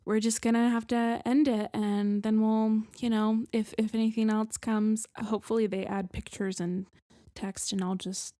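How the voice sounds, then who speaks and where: A woman's voice: fairly high pitch, flat delivery, normal speed, mellow, no echo, studio quality. A woman co-hosting a podcast in casual chat, rambling and self-deprecating with many restarts. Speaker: podcast co-host.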